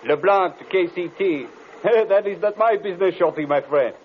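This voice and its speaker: An actor playing a chatty, easygoing French co-pilot with a French accent and halting phrasing, in old radio drama style. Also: male voice, high-pitched, phone-quality sound, close to an everyday voice. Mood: cheerful. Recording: thin, noisy old radio recording.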